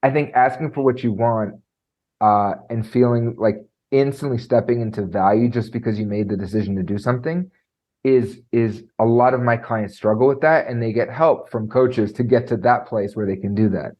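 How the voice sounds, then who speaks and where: A man coaching on presentation skills, talking in an animated way and filling his speech with "like" and "right?"; he has a trained high singing voice. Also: moderate pace, dull audio. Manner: animated, lots of fillers like "like" and "right?". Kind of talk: conversational podcast interview.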